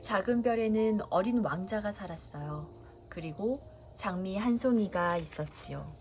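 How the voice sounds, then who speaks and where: A woman reading a children's storybook aloud as a bedtime story, narrating in a gentle, unhurried way. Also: medium pitch, expressive, slight background noise. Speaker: storybook reader.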